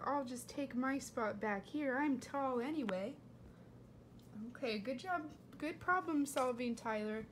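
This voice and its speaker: A woman reading a storybook aloud to children, expressive, with simple explanatory asides. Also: sits high, slight background noise. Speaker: read-aloud host for children.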